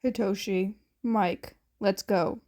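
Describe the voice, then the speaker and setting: Lady putting on a gruff stern guy, voice dropped low, flat n bossy, short orders, audiobook. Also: takes it slowly, close to an everyday voice. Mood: commanding. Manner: flat, commanding, terse. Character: stern, gruff adult man, blunt reluctant guardian.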